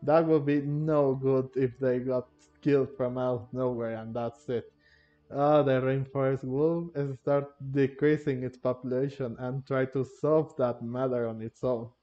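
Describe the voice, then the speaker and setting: Male gamer commentator, mellow mid-range voice, casual, halting and rambling, lo-fi sound. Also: medium pitch, fairly even intonation. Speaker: gamer, video host. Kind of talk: casual game playthrough commentary. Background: game music.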